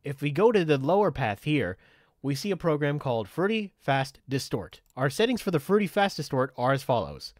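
A man giving a methodical, step-by-step software tutorial in an instructional way. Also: a little high, sing-song, normal speed, dry recording, clean studio sound. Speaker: tutorial presenter.

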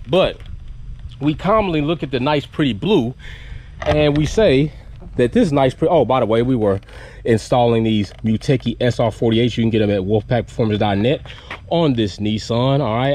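A man's voice: mid-range voice, darkish tone, slight background noise. A man in his thirties hosting a casual product review vlog outdoors in a driveway, talking bluntly and animatedly and swearing casually while holding the camera at arm's length. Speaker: car channel host. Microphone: handheld camera held at arm's length.

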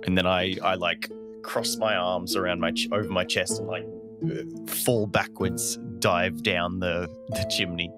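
A low-pitched man casually describes his moves as a player in a tabletop role-playing game. Loud plucked guitar music runs behind his voice. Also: fairly even intonation, measured pace.

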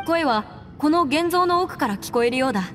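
A woman's voice: high voice, expressive, moderate pace, fairly bright tone. A woman voicing a composed, resolute young adventurer in a Japanese anime game, speaking in an articulate, slightly formal, earnest way. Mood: calm, focused. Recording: long reverb, music behind.

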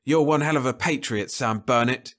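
A man voicing a hot-tempered young spaceship crewman in an audiobook, speaking in sharp, indignant outbursts. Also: sits high, sing-song, measured pace, slightly rough, voice pushed up, full character voice. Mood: bitter, indignant. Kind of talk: audiobook character voice.

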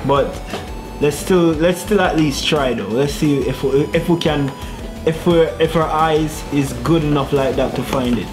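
A young man talks through his gameplay into a headset in a small room at home. He is chatty, mutters and laughs to himself, and game music plays clearly behind him. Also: on the high side, measured pace. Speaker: gaming YouTuber. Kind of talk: casual gameplay commentary. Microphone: gaming headset.

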